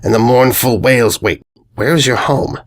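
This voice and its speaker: A man voicing a menacing giant centaur villain in a storybook, with a raspy voice and a grandiose, theatrical, exasperated manner. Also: medium pitch, moderate pace, natural voice. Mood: puzzled, bargaining. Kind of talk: storybook narration character voice.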